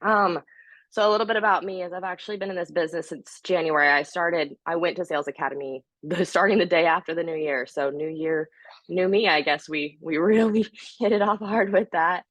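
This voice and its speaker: A woman in her thirties with a low voice that is a little scratchy and hoarse today. She talks in an upbeat, chatty way, full of fillers, as a guest speaker on a call. The sound is dry and close, with no room around it. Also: fairly quick, fairly bright tone, dry recording. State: slightly hoarse. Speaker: guest speaker, top sales producer. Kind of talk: casual motivational talk on a team call.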